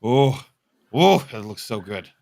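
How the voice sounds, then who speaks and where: A man's voice: medium pitch, lively intonation, takes it slowly, a little mellow. A man co-hosting a podcast in casual livestream chat, informal and blunt in the way he talks. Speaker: podcast co-host.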